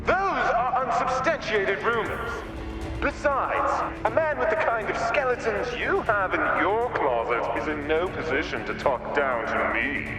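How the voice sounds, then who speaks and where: An actor playing a sly, smug alien blackmailer in a video game, with refined, drawn-out, taunting phrasing. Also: male voice, sits high, lively intonation. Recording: roomy echo with music underneath.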